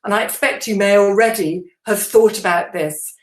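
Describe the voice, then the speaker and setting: A woman giving an instructional presentation to teachers as a trainer, explaining in a measured way with deliberate emphasis. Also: mid-range voice, reverberant, rough recording.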